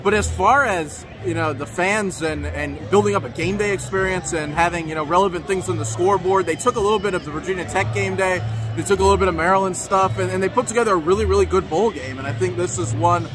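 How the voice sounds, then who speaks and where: A young man giving measured postgame analysis on a stadium field, filling with "you know". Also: high-pitched. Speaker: co-host. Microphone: phone selfie at arm's length. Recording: stadium music playing behind.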